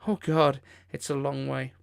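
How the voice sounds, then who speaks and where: A man with a mid-pitched voice hosts a live reaction stream. He talks informally and off the cuff, with an incredulous edge to his commentary. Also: level tone, unhurried, creaky, clean tone. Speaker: livestream host.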